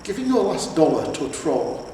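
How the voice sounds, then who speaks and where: Man acting a storybook talking horse, sceptical, curt, deadpan, far from mic, long reverb. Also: fairly high pitch, normal speed, voice pushed up. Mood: dry scepticism. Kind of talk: storybook character reading. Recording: echoey reverberant room, distant, faint hiss.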